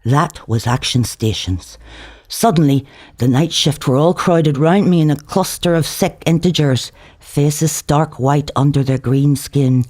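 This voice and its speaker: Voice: medium pitch, darkish tone. A narrator reads a literary novel aloud in a colloquial, theatrical first-person style. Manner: theatrical, shifts voice for dialogue. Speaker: author reading own novel aloud.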